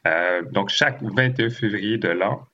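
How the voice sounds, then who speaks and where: A man from Haiti hosting a conversational interview, speaking in a halting way with frequent pauses. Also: fairly low pitch, sharp tone, muffled recording. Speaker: podcast interviewer and host. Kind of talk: conversational interview with long spoken introductions.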